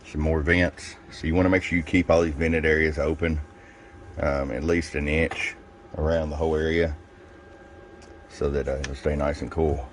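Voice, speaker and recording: Male reviewer, extremely deep pitch, plain-spoken and casual hands-on demo delivery, faint noise behind the voice. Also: level tone, normal speed. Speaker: radio seller demonstrating a product.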